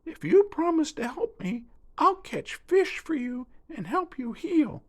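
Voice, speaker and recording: Squeaky falsetto spoonbill bird. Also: male voice, sing-song, a little slow, heavily acted. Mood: pleading, coaxing. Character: excitable, good-hearted male spoonbill bird, bargaining helper.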